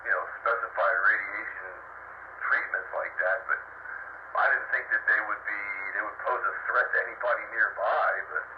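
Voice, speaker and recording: A man telling anecdotes in casual chat over two-way radio, with a gravelly voice. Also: lowish voice, fairly even intonation, moderate pace. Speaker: participant in amateur radio chat. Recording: noisy, dull two-way radio transmission.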